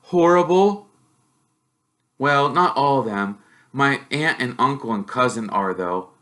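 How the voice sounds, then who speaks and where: Man as a modest boy wizard, storybook character, earnest, plain-spoken, curious, bright tone. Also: medium pitch, animated, takes it slowly, pitched above the performer's own voice.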